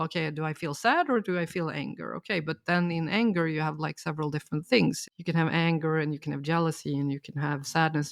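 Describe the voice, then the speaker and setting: Lady, a bit of vocal fry, calm explainer, dry close sound. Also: low-pitched, no echo. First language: Swedish. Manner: thoughtful, explanatory, steady. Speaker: guest expert. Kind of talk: reflective podcast conversation.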